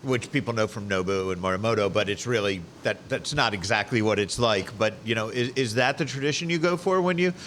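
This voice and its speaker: A man's voice: fairly low pitch, fairly quick, few pauses, fairly bright tone, a little hiss or hum. A man hosting a food show, asking a chef curious questions in a chatty, casual way. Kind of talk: casual interview chat.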